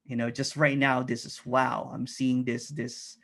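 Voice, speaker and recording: Gravelly-voiced man, halting reflective talk. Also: medium pitch, flat delivery, fairly bright tone. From Philippines. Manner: halting, many restarts and fillers. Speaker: interview guest. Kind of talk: reflective casual conversation.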